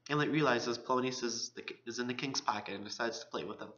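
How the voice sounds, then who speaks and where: Male college student reading a scripted literary presentation, forceful bright voice, dull lo-fi sound. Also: medium pitch, quick pace.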